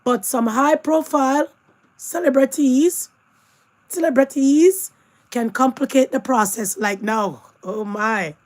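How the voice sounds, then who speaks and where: Woman host, hoarse from losing her voice, higher voice, chatty commentary. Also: slow pace. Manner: chatty asides, addresses viewers directly. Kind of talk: casual news commentary.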